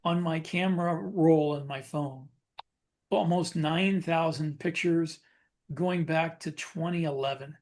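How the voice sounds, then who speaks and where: A man chatting casually as a podcast co-host, explaining things in a halting way. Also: fairly high pitch, fairly even intonation, darkish tone.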